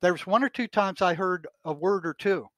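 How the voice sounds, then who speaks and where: A man's voice: high voice, fairly quick, fairly bright tone, no room sound, low-quality recording. An older man speaking bluntly and emphatically as an opinionated podcast guest. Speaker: retired psychotherapist, podcast guest. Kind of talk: opinionated interview talk.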